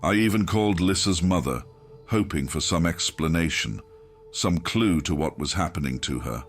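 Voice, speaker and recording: A man's voice: deep voice, expressive, normal speed, faint music behind. A man narrating a grimdark horror audiobook in a grave, brooding, dramatic first-person manner.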